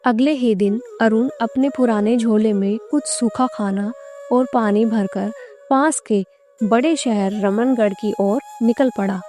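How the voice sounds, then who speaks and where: Mellow, high-voiced woman narrator. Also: a little slow. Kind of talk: scripted story narration. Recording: clear background music under narration.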